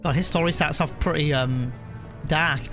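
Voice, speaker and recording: A man live streaming a video game, chatting casually and reading the on-screen text aloud in a halting way, often correcting himself. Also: a little high, a bit bright, soft background music.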